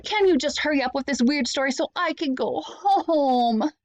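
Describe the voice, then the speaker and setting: A woman's voice: high voice, expressive, normal speed, dry recording, pitched above the performer's own voice. A woman reading a storybook aloud as an exasperated, sarcastic young boy hero who complains in an indignant, whiny way.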